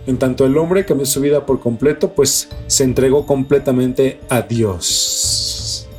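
A man's voice: medium pitch, measured pace, darkish tone. A man with a Mexican accent speaks as a podcast co-host in a casual, conversational style. His language is loose and profane, full of street slang. Music plays behind his voice. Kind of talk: casual news commentary on strange stories.

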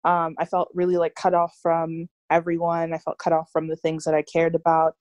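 A young woman from Nigeria speaks in a low, flat voice, thoughtful and loose, with a steady run of "like" and "you know." The sound is dry and close, with no room around it. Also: brisk, no echo, muffled recording. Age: young adult. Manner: reflective, frequent fillers like "like" and "you know". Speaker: interview guest, student activist. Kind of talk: casual interview conversation.

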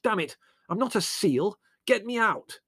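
A man's voice: medium pitch, lively intonation, brisk, a bit bright, no room sound, clean studio sound. A man voicing a pompous, sarcastic, easily indignant young man for an audiobook story. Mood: furious, panicked. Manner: bitter, sarcastic, elaborate indignant phrasing. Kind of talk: audiobook character voice.

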